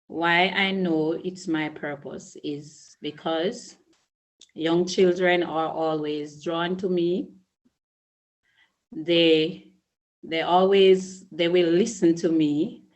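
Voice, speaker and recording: A middle-aged Jamaican woman, a school principal, answering interview questions in a measured, earnest way with small restarts. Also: sits low, steady tone, rough recording. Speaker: early childhood school principal.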